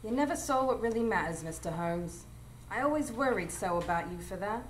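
A woman's voice: medium pitch, lively intonation, brisk, close to an everyday voice. Woman as a chiding, motherly housekeeper, roomy sound. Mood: chiding, concerned. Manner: chiding, folksy, earnest. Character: protective, plain-spoken motherly housekeeper, scolding wisely. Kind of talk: live radio drama acting. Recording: roomy live stage, faint noise.

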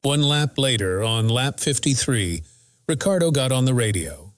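A man's voice: medium pitch, expressive, moderate pace, background noise. A man reading a scripted race recap as the narrator of a motorsport news channel.

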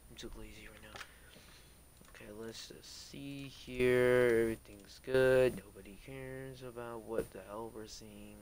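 A man's voice: medium pitch, steady tone, a little slow, a little mellow. Young man mumbling through a game stream. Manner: rambling, mumbly, swears freely, many fillers. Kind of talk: casual gaming livestream commentary.

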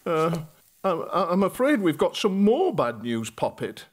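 A man's voice: sits high, sing-song, a little slow, heavily acted. A British man voicing an apologetic, doting, flustered king in a cartoon, with a hesitant manner.

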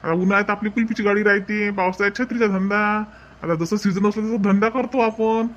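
A man's voice: high voice, fairly even intonation, fast, a bit bright, highs cut off, slight background noise. A man playing a chatty village umbrella seller in a comic cartoon, with folksy sales patter and a Varhadi Marathi accent. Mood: persuasive, friendly. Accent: Varhadi Marathi from Vidarbha.

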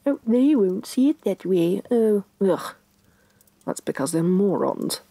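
Woman playing a breathy, haughty evil queen. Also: medium pitch, lively intonation, slow pace, no echo. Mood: exasperated, scornful. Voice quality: soft, breathy. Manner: imperious, sardonic, sighing condescension. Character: domineering evil sorceress queen, haughty, vain, grudgingly softening. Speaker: voice actress. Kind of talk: soft-spoken ASMR fantasy roleplay, acted monologue.

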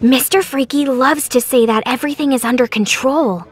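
High, bubbly young giant girl. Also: moderate pace, breathy edge. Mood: chatty, confiding. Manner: excitable, chatty. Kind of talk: animated children's story dialogue.

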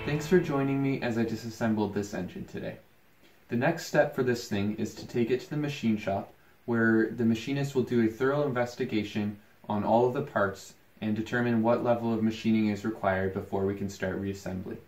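A man's voice: on the low side, brisk, soft background music. A young man giving a casual how-to explanation in a small workshop, recorded on a camera a few feet away. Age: young adult. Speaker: vlog host, hobby mechanic. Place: small cluttered workshop, indoors. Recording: echoey room, loud ventilation-like background noise.

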